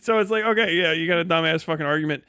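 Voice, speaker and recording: A man's voice: on the high side, rapid-fire, sharp tone, smooth, dry recording, clean studio sound. A man giving casual livestream commentary in a profane, blunt, sardonic way. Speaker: livestream host.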